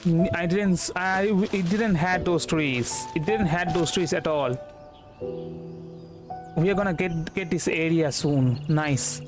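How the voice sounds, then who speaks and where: A man giving casual gameplay commentary as a streamer, halting and rambling as he repeats words. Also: a little high. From India. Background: game music.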